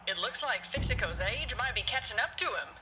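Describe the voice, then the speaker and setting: Woman as a high-voiced, amazed fight commentator. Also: animated, fairly quick, sharp tone, faint music behind, poor audio. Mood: amazed, shocked. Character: amazed female arena co-commentator. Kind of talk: sports commentary in radio drama.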